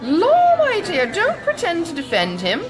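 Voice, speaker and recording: Woman voicing a gushing, excitable older gossiping matron. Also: very high voice, unhurried, over loud music, pitched above the performer's own voice, heavily acted. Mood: indignant. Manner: gushing, exclamatory, rambling.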